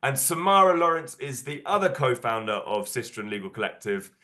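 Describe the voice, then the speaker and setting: A man with a croaky voice from a cold, hosting an online workshop over a video call in a casual way with lots of fillers. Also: medium pitch, sing-song, a little fast, sharp tone. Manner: casual, filler-heavy, upbeat. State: hoarse from a cold. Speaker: workshop host.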